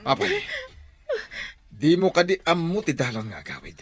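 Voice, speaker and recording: A man's voice: a little high, huge pitch swings, normal speed, gravelly, fairly bright tone, breathy edge, full character voice. A man playing a scolding middle-aged husband and father.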